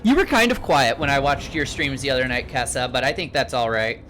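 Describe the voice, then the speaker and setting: A man's voice: medium pitch, expressive, fairly quick, background noise. A man hosting an online tabletop game, chatting casually in a laid-back, joking way over a voice-chat mic. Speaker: game master and stream host.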